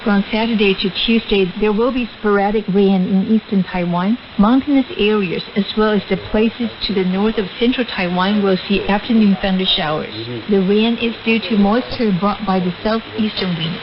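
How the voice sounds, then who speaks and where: A woman's voice: medium pitch, moderate pace, few pauses, dull audio, a crowd in the background. A woman presenting scripted radio news on a shortwave broadcast.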